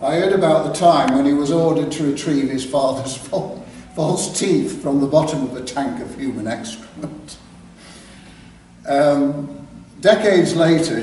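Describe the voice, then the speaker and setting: An older man with an English East Midlands accent, telling a story live with careful diction and dramatic pauses. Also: a little high, darkish tone. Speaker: author reading his own work. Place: live reading in a theatre clubroom. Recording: very echoey hall, distant mic, background noise.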